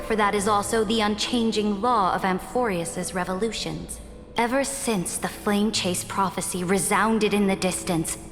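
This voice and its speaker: An actress playing a proud, commanding conquering empress in a video game, speaking in grand, formal declamation. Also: female voice, mid-range voice, close to an everyday voice. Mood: proud, defiant. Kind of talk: dramatic video game voice acting. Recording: long reverb with loud music behind.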